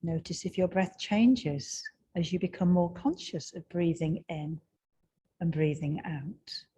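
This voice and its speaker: A woman guiding a breathing meditation in a slow, soft and soothing way, with long pauses. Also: on the low side, breathy edge. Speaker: meditation guide.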